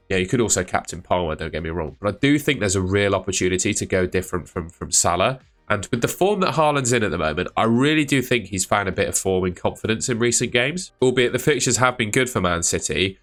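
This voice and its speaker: Man, slightly low pitch, bit of a cold, casual rambling host talk, soft background music. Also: animated, fast. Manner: chatty, thinking aloud with fillers. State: has a cold. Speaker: fantasy football channel host. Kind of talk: casual solo video commentary.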